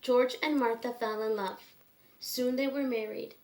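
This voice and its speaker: A woman reading a children's book aloud in a simple, measured storytelling manner with pauses. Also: a little high, steady tone, a little mellow.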